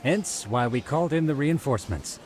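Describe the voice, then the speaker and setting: An eager, businesslike detective played by a man with a mid-pitched, lively voice. He explains things formally and precisely in game cutscene style, with faint noise behind him. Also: fairly quick, close to an everyday voice. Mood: confident, explanatory. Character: businesslike, eager male private detective pitching his plan. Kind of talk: video game cutscene acting.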